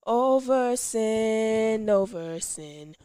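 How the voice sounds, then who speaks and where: A woman's voice: fairly high pitch, animated, extremely slow, warm tone, smooth, dry recording, studio quality. A woman singing a gospel devotional song. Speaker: singer.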